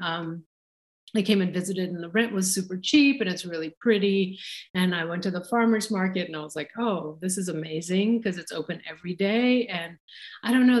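A woman in her forties telling her life story in a casual interview, rambling with many fillers and frequent laughs. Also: lowish voice. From India. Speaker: chef and guest.

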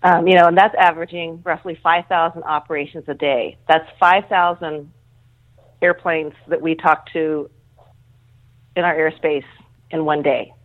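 Middle-aged female interview guest, low register, earnest and conversational, heard over a thin telephone-style connection. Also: steady tone, unhurried. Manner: earnest, informal, often rephrasing. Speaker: interview guest, air traffic control manager. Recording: thin phone-like line.

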